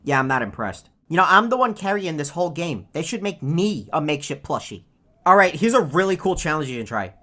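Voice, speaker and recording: An actor playing a snarky, self-aware video game character who taunts the player with sarcastic, condescending, deadpan lines. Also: male voice, a little high, brisk, full character voice. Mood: smug taunting.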